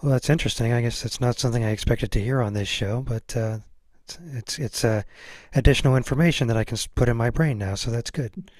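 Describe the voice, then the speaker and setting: A man's voice: medium pitch, fast talker, a little mellow, no room sound. A man hosting a casual interview, phrasing his questions and remarks in a tentative, hesitant way. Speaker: podcast host.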